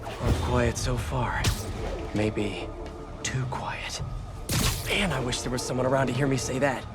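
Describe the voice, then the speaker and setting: An actor playing a young, wisecracking, earnest American superhero in a video game, tossing out quippy asides. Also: male voice, mid-range voice, fairly even intonation, unhurried, over loud music, close to an everyday voice. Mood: wry. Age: young adult. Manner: quippy, self-talking.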